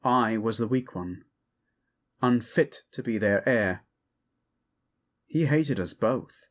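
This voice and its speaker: An actor playing a guilt-ridden, vengeful prince in an audio drama, earnest and subdued. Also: male voice, mid-range voice, animated, slow. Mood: brooding, guilty. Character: brooding wounded prince, guilt-ridden and vengeful, protective of sister.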